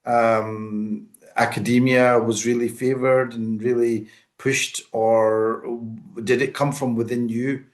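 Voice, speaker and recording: A man's voice: medium pitch, flat delivery, slow. A man hosting a radio interview, asking questions in a conversational way with some hesitation. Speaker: radio host.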